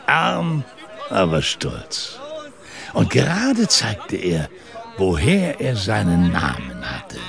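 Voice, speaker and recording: An actor playing a boastful drinking comrade who narrates a tale theatrically in an audio drama. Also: male voice, low voice, wildly swooping pitch, takes it slowly, exaggerated voice. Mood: admiring, boastful. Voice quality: gravelly. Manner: hearty, theatrical. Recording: tavern crowd behind.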